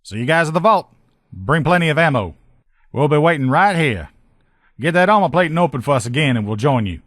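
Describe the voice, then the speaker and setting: A man's voice: a little high, lively intonation, fairly quick, fairly bright tone, dry recording. A man voices a loyal, upbeat army lieutenant who fixes armor, written for a video game. He talks like a plain-spoken, folksy soldier, informal and sure of himself. The sound is dry, with no room around the voice. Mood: brisk, assured. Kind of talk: video game character dialogue.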